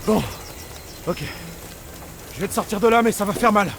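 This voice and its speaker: A man's voice: sits high, normal speed, background noise. A man acting a tense, profane wartime airman who looks after a comrade, in a French game dub. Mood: desperate. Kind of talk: dramatic French game dubbing. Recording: loud music underneath.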